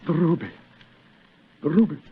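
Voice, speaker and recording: An actor playing a mortally wounded gentleman in 1940s radio style, gasping out halting fragments as he fades. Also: a little high, very animated, breathy edge. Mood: dying, desperate. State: gasping, fading. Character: stabbed, dying English knighted gentleman gasping a warning. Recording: muffled, noisy old radio broadcast.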